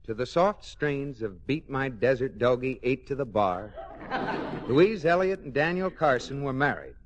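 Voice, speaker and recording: An actor playing a mild, sardonic, exasperated husband in a 1940s radio comedy. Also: male voice, mid-range voice, expressive, unhurried, lo-fi sound, close to an everyday voice. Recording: faintly noisy old radio recording.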